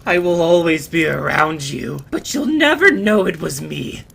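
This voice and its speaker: A man's voice: on the high side, lively intonation, measured pace, gravelly, fairly bright tone, breathy edge, voice pushed up, heavily acted. A man voicing a deranged, gleeful cultist killer in a fantasy tale, cackling and taunting. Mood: mad, taunting.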